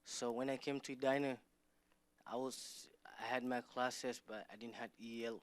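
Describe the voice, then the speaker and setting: A teenage boy who grew up in Somalia and Kenya, speaking English as a learner and telling his story in simple, halting sentences. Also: mid-range voice, steady tone, no echo. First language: not English. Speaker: student speaker. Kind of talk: personal testimony.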